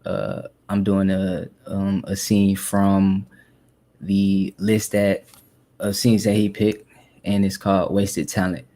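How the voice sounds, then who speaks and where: A man's voice: low-pitched, slow, warm tone. A man calling in to audition, talking casually. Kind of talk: casual chat.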